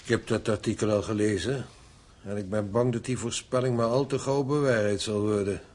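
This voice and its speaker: A man acting in a radio drama as a shrewd, sober older father-in-law, husky, with a measured, knowing and kindly manner. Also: lowish voice, steady tone, a little mellow, a little hiss or hum, natural voice. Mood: calm, knowing.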